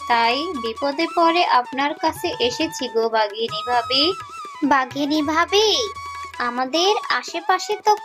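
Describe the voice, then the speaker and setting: A woman acts a poor, pious mother fox in an animal cartoon. Her voice is high, tender and soothing, earnest and humble, with music running behind it. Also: animated, a little slow. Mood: humbly pleading. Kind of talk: children's cartoon voice acting.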